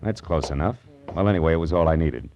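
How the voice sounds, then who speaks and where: Low-voiced wry American private eye. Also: male voice, quick pace, darkish tone, slight background noise. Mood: dry, narrating. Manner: wry, slangy, clipped. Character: wry, flirtatious hard-boiled male private detective. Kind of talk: 1950s radio noir acting and first-person narration.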